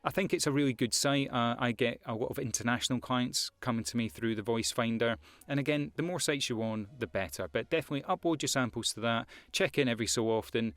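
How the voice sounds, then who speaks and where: A man's voice: mid-range voice, fast talker, fairly bright tone, no echo. Scottish man giving casual career advice. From Scotland. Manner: conversational, frequent fillers and repeated words. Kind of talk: casual advice talk to camera.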